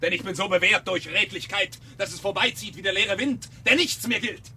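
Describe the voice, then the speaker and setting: An actor playing a taunting, theatrical and menacing fire chief in a German radio play from 1970. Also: male voice, high voice, fairly quick, bright, forceful tone, slightly rough, full character voice. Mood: mocking, goading. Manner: mocking, needling, theatrical.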